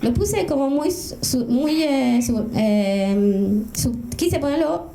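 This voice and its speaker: A woman's voice: high voice, animated, slow pace, creaky, dark tone, slight background noise. A middle-aged woman from France speaking on a panel, hesitant and searching for words. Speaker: panelist, writer. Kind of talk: panel discussion. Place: event hall. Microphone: handheld mic. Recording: roomy hall.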